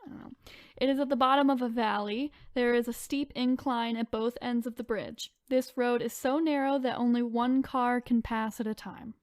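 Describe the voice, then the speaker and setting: A woman's voice: on the high side, steady tone, normal speed, no room sound, studio quality. A woman hosting a podcast, chatting informally and reading spooky stories aloud with casual asides. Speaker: podcast host.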